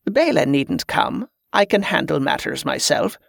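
A man's voice: fairly high pitch, big pitch swings, measured pace, creaky, fairly bright tone, no echo, studio quality, full character voice. A man voicing a self-important, suspicious male preacher in an audiobook, pompous and easily put out. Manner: pompous, indignant.

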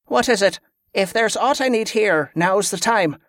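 A man's voice: high-pitched, animated, a little slow, fairly bright tone, no echo, studio quality, pitched above the performer's own voice. A man voicing a stern, scornful female military commander who gives clipped orders in inverted dialect phrasing, in a fantasy audiobook. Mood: brisk, demanding.

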